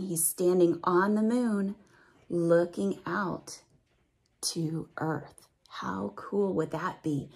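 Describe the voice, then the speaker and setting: A woman's voice: fairly low pitch, darkish tone, slightly rough. A woman reading a picture book aloud to young children, stretching out the rhymes expressively and adding simple, teacherly explanations and questions for the listener.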